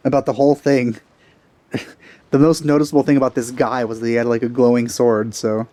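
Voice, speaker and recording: A man's voice: mid-range voice, flat delivery, brisk, mellow, no room sound. A man giving casual let's play commentary in a dry, laid-back way.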